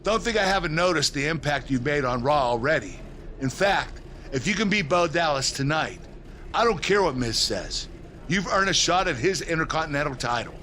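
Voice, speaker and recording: An eager, self-pleased male general manager speaks in a bright, forceful and fairly high voice. It is game cutscene dialogue with faint background noise. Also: expressive, normal speed. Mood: pleased, encouraging.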